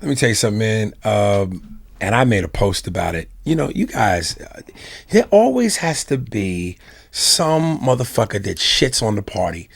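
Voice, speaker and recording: Animated gravelly man hosting a podcast. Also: on the low side, moderate pace. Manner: animated, profane, emphatic. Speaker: podcast host. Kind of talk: casual comedic chat.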